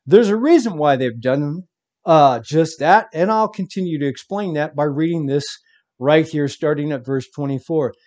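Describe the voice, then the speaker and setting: A man's voice: a little high, normal speed, a little mellow. A man preaching from home, reading scripture aloud and commenting on it with emphasis.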